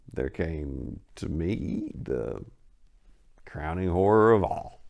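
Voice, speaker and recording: A man acts out a haunted survivor giving his statement in a horror story reading. The delivery is theatrical and halting, creaky in tone, swinging widely between hushed dread and anguish. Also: deep voice, heavily acted.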